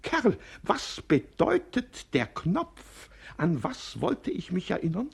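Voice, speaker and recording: An actor playing a pompous, muddled old king in a 1950s radio play, raspy and gravelly, talking in flustered philosophical fuss. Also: high-pitched, wildly swooping pitch, takes it slowly, heavily acted.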